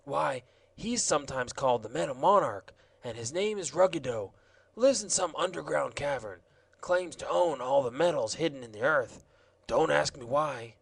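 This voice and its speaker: A man doing a gravelly, chatty wanderer. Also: fairly high pitch, lively intonation, moderate pace, full character voice. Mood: rueful. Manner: chatty and offhand. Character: rambling, easygoing shaggy wanderer, adult man. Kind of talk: audiobook character voice.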